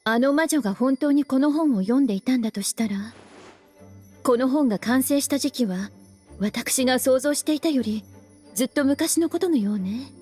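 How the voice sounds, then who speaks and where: A woman playing a composed, knowing adult librarian in a video game, explaining things in a feminine manner. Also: sits high. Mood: musing. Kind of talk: Japanese video game voice acting. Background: soft game music with jingles.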